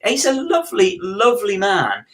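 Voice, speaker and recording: Middle-aged man, slightly high voice. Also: wildly swooping pitch, moderate pace. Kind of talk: casual podcast chat.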